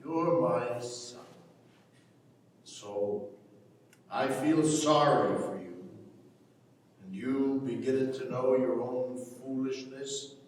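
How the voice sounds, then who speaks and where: A man's voice: medium pitch, a little hiss or hum, lightly acted. An actor playing a stern, curt old farmer and father in a staged play reading. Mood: cold, stern. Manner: deliberate, curt, authoritative. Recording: echoey hall, distant microphone.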